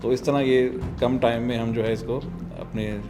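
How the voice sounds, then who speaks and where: A man's voice: mid-range voice, little pitch movement, moderate pace, music in the background. A Pakistani man answering interview questions conversationally, earnest and explanatory, dropping English words into his speech. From South Punjab, Pakistan. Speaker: interview guest, doctor and biker tour organiser.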